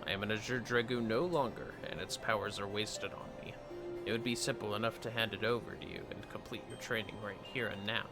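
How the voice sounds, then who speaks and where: A man voicing a solemn retired knight mentor from a fantasy game, reading in a formal, archaic, measured way. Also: lowish voice, steady tone, close to an everyday voice. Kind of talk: reading game dialogue aloud in character. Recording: game music behind the voice.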